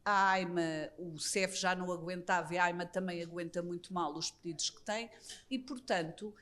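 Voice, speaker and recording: A woman's voice: lowish voice, steady tone, normal speed, a bit bright. Portuguese woman lawyer on a panel. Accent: European Portuguese. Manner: earnest, critical, with frequent restarts. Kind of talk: conference panel discussion. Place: embassy conference room.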